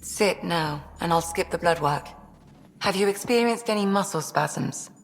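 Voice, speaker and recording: An actress playing a no-nonsense but caring ship's doctor in a video game, with dry delivery. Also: female voice, fairly low pitch, unhurried, in a large hall. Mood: dry, affectionate.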